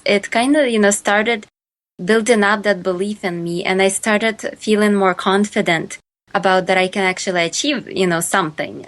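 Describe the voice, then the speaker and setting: A woman from Ukraine with a Ukrainian accent, answering interview questions as a podcast guest, with many fillers and restarts. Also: mid-range voice. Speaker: guest entrepreneur.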